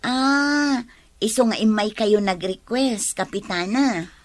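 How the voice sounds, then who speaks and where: A woman playing a brisk, no-nonsense figure in a village comedy drama, with a Filipino accent. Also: fairly high pitch. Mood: businesslike.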